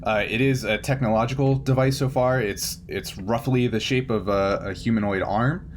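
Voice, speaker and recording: A man's voice: medium pitch, steady tone, moderate pace, a little hiss or hum. A man narrating as game master of a tabletop roleplaying game, improvising and often searching for words.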